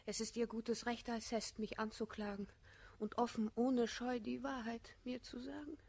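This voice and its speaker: An actress playing a worldly, self-possessed woman of twenty, declaiming classical German verse with measured elegance in a radio drama. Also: fairly high pitch, little pitch movement, vocal fry, a little mellow, breathy edge. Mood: contrite.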